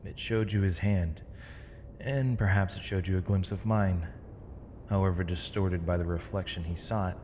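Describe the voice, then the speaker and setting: A man playing a gentle, weary, eloquent imprisoned mage in an ASMR roleplay, speaking in measured, formal, poetic phrases with thoughtful pauses. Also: deep voice, fairly even intonation, slight background noise, natural voice. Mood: calm, philosophical. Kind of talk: ASMR roleplay, intimate dramatic monologue. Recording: long reverb, faint night insect ambience.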